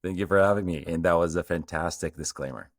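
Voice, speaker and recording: A middle-aged man from Portugal answers interview questions and tells his story at an even flow. His voice is very deep. The recording is dry, with no room sound. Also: fast talker, dry recording. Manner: fluent storytelling, fillers like um. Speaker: guest, company co-founder.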